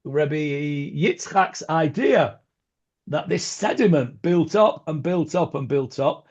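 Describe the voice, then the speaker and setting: A man's voice: a little high, lively intonation, slow, darkish tone. A man leading an informal online Talmud class over a video call, hesitant, with many ums and restarts and chatty asides. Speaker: rabbi teaching a Talmud class. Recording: dull lo-fi video call audio.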